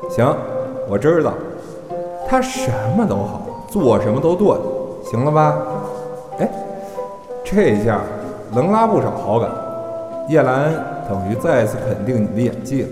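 An actor playing a brisk, exasperated but supportive male talent agent in a Chinese audio drama. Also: medium pitch, very animated, roomy sound, in a large hall, music in the background. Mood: grudging approval.

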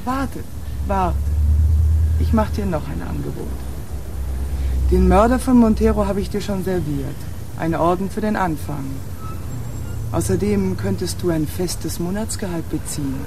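An actress playing a shrewd, self-assured nightclub owner with underworld ties in a radio crime drama. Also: female voice, fairly low pitch, a little slow, pauses often. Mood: coaxing. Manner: cool, self-assured, insolent. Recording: clear street and car ambience noise.

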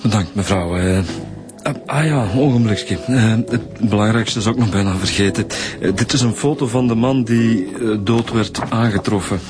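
An actor playing a mild, probing police detective in a radio drama, polite and hesitant, with a Flemish accent. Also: male voice, lowish voice, moderate pace, music in the background, natural voice.

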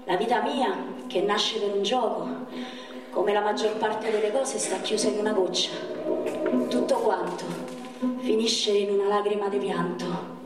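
Actress as dreamy verse storyteller, Roman accent, lyrical and very slow, echoing stage sound with loud music. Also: female voice, medium pitch, fairly even intonation, voice pushed down, close to an everyday voice. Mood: wistful. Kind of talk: slow theatrical poetry recitation. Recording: very echoey, distant, loud music behind.

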